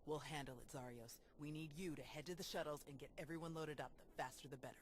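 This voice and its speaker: A woman's voice: deep voice, steady tone, fast talker, a little hiss or hum, lightly acted. A woman playing a jaded, sardonic, battle-hardened Jedi warrior in a video game, with a dry, blunt delivery. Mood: businesslike. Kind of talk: video game cutscene acting.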